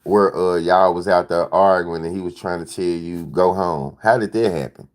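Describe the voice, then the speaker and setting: A man's voice: low-pitched, steady tone, moderate pace. A man hosting a livestream in laid-back, slangy chat, often repeating his phrases. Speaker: livestream host.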